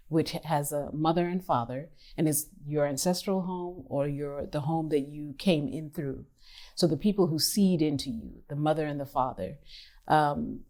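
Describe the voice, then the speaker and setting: A woman from Kenya in her fifties, an artist who speaks thoughtfully in an interview, pausing and rephrasing as she goes. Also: low-pitched, darkish tone. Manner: thoughtful, measured, with pauses and restarts. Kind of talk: reflective interview talk.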